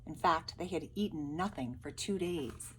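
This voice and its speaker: Low-pitched woman teacher over background noise. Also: expressive, moderate pace, poor audio. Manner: explanatory, addressing pupils directly. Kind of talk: casual lesson and storybook read-aloud.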